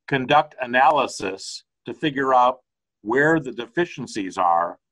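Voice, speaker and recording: A man's voice: medium pitch, fairly bright tone, rough recording. Older American male consultant on a video call, rambling and conversational, with a home-study webcam sound. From United States. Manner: rambling, conversational with frequent restarts.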